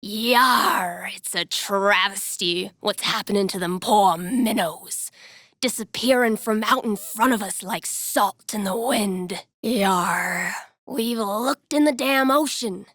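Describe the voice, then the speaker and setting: A woman voicing a gruff, complaining old seafarer with a theatrical drawl in a tabletop game. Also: mid-range voice, takes it slowly, fairly bright tone, no room sound. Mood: aggrieved, pleading.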